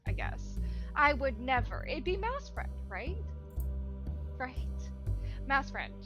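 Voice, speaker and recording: A woman's voice: sits high, huge pitch swings, slow pace, highs cut off, music in the background, full character voice. A woman playing a blunt, suspicious adventurer who insists and repeats herself.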